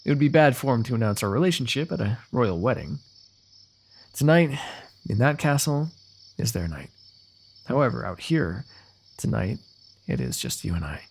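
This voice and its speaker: A man playing a lovestruck, eloquent fantasy royal in a romantic ASMR roleplay, talking intimately and poetically with gentle teasing. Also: medium pitch, sing-song, normal speed, creaky, pauses often, breathy edge, exaggerated voice. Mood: amused, devoted. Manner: intimate, poetic, talkative, softly amused.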